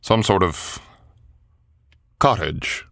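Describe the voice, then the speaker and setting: A man's voice: deep voice, animated, very slow, vocal fry, a bit bright, no echo, clean studio sound, exaggerated voice. A man voicing a young male knight, wounded, gallant and protective, speaking in an old-fashioned courtly way. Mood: bewildered. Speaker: voice actor. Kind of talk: boyfriend ASMR audio drama.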